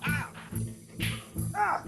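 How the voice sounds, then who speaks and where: A performer voicing a brave, brawling circus strongman in an improvised radio play, heroic and exclamatory. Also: very slow. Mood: fighting, excited. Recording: loud music behind the voice.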